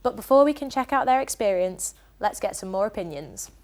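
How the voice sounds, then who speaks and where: Young female student presenter, mid-pitched, chatty. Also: animated, brisk, darkish tone, faint music behind. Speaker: studio presenter. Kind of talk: live studio presenting.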